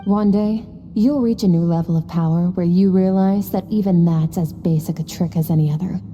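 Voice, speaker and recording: A woman playing a stern, aloof master swordswoman in a video game, lecturing in a cool, measured, terse manner. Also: lowish voice, fairly even intonation, dark tone, big-room sound, faint music behind, close to an everyday voice. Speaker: sword instructor. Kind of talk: scripted video game voice acting.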